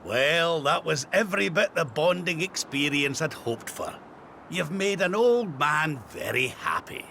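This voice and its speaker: A man's voice: on the high side, big pitch swings, measured pace, vocal fry, pushed and bright, a little hiss or hum, full character voice. A man playing a jovial, doting old Scottish grandfather in a video game, folksy and affectionate. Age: older.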